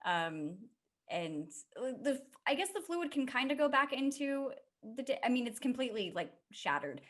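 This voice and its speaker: A woman's voice: high voice, very animated, moderate pace. A woman in her late twenties hosting a casual interview, chatty and rambling, laughing easily. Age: 20s. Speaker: host.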